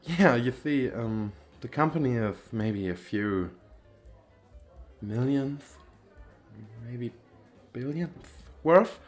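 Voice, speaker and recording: A man's voice: mid-range voice, expressive, slow pace, pauses often, faint music behind. A man playing a nervous, bashful, well-off young businessman on a date in an ASMR roleplay, with hesitant, stammering speech. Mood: flustered, defensive. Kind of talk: ASMR roleplay, one-sided conversation with the listener.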